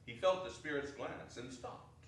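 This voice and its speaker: A man's voice: medium pitch, expressive, moderate pace. A man reading scripted narration in a theatrical style. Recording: noisy echoey hall from far off.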